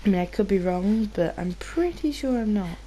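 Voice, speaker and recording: A woman's voice: medium pitch, lively intonation, moderate pace, dark tone, slight background noise, rough recording. A British woman giving casual, rambling gameplay commentary, informal and sweary, sounding slightly nasal from a cold. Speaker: gaming video host.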